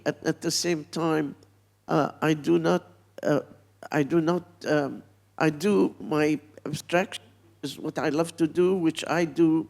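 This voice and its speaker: A woman's voice: low voice, creaky, darkish tone. A woman in her eighties, from Palestine, speaking bluntly and reflectively as an artist on a panel. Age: 80s. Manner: blunt, reflective, unhurried, with pauses. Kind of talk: panel talk.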